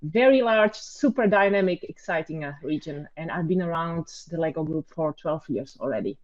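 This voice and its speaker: A woman's voice: sits low, lively intonation, moderate pace, no room sound. A woman with a Czech accent introducing herself as a guest on a video call. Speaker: guest, regional sales team head. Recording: dry, slightly dull call audio.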